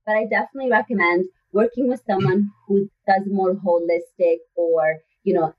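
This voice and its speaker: A woman health coach chatting as a guest in an interview, lively and acting out the people she quotes. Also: medium pitch, moderate pace. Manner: animated storyteller who acts out voices in quotes. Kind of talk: casual interview chat. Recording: distant, dull lo-fi sound.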